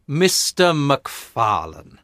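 A man voicing a calm, composed male detective who explains things quietly in an audiobook. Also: medium pitch, very slow, no room sound, pitched above the performer's own voice, heavily acted. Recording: dry close studio sound.

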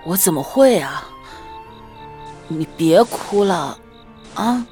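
A woman's voice: on the low side, lively intonation, slow pace, dark tone, soft background music. A woman playing a doting, roguish young husband in a Chinese period romance audio drama, with a soothing manner. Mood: anxious, soothing. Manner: soothing, hesitant, playfully boastful.

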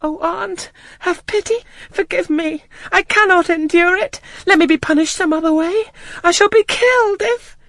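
A reader voicing a frightened little girl who cries out and pleads in high, frantic bursts. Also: normal speed, a bit bright, dry recording. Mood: pleading. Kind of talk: audiobook character reading.

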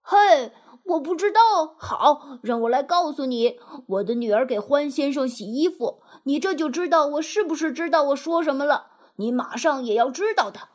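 Boastful storybook mole played by a woman: high, animated, gleeful, self-satisfied.

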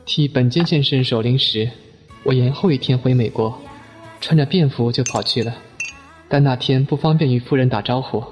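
A man's voice: medium pitch, moderate pace, close to an everyday voice. An actor playing a polite, attentive company man, the younger brother, in a radio drama. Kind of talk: Chinese radio drama acting. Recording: long reverb, faint music underneath.

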